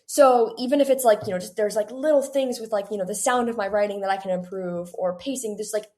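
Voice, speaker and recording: A young woman, eighteen, speaks in a middle-pitched voice as an interview guest. She is chatty and conversational, peppering her answers with "like" as she talks about her work as a writer. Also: fast, a little mellow. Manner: chatty, heavy use of "like". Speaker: guest, young adult author.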